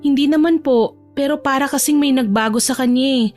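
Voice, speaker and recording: A woman playing a caring, high-voiced young granddaughter. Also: brisk, darkish tone, faint music behind, close to an everyday voice. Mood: concerned, caring. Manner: polite, affectionate. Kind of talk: Tagalog audio drama acting.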